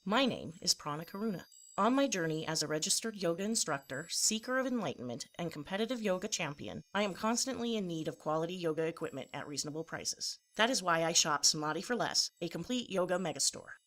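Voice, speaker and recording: A woman as a serenely earnest yoga guru pitchwoman. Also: animated, fast, fairly bright tone. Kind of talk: scripted parody radio advertisement.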